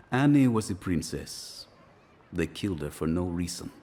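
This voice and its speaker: A shady, smooth-talking gangster for a video game. His husky voice is teasing and theatrical, moving widely in pitch. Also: sing-song, takes it slowly, mellow, exaggerated voice. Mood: suave.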